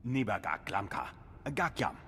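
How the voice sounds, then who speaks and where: An actor voicing a gruff alien warrior who speaks a guttural alien tongue. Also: male voice, lowish voice, sing-song, moderate pace, sharp tone, in a large hall, slight background noise. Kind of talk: video game cutscene acting.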